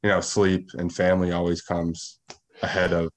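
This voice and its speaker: A man's voice: low voice, completely flat delivery, normal speed. A man co-hosting a podcast, asking long winding questions in a casual way. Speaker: podcast co-host.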